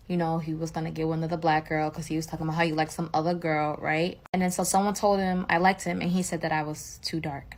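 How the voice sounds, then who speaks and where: A young woman telling a candid story and then ranting bluntly to her followers in casual slang. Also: lowish voice. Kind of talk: candid storytelling and direct-to-camera rant.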